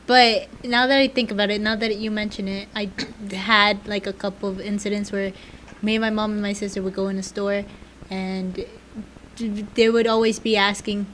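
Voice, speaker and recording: A woman's voice: mid-range voice, a bit bright, low-quality recording. A young woman whose first language is Spanish, co-hosting a student podcast and telling stories in casual chat with hesitant fillers. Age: young adult. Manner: chatty, storytelling, hesitant fillers. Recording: faint background noise.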